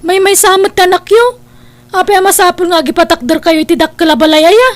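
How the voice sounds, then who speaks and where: A woman's voice: very high-pitched, a little fast. A woman playing a complacent married older sister in a family radio drama, dismissive and preachy, scornful of wealth. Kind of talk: Ilocano radio-style family drama acting.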